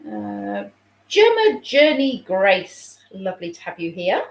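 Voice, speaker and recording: A woman's voice: mid-range voice, very animated, takes it slowly, creaky, faint music behind. A chatty woman rambles on a home live stream, greeting viewers by name between stories. She sounds slightly snuffly, with a sore throat coming on. Her mid-range voice rings out in a roomy, echoey room. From England. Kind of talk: casual live stream chat. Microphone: phone.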